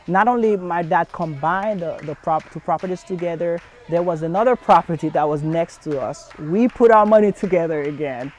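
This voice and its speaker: A man's voice: fairly high pitch, normal speed, few pauses, a little hiss or hum. A young man from Haiti speaking in an earnest documentary interview, with frequent fillers and restarts. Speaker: community center founder's son.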